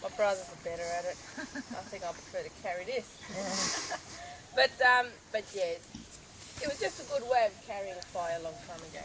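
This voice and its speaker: Aussie lady ranger showing stuff out in the bush, bit hoarse, lots of ums, outdoor noise in the background. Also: medium pitch, expressive. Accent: Australian. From northern Australia. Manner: unhurried, explanatory, with frequent ums and restarts. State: slightly hoarse, losing her voice. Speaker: park ranger. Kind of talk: outdoor guided demonstration. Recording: outdoor recording with clear natural background noise.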